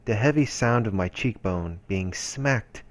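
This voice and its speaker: A man narrating a visual novel aloud in a measured storytelling manner. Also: fairly low pitch, animated, dry recording. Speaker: let's-play narrator. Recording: voice-over with music behind. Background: game music.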